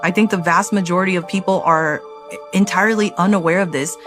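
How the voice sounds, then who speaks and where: A woman's voice: on the low side, steady tone, fairly quick, fairly bright tone, faint music behind. A woman answering interview questions as an expert, firm and explanatory.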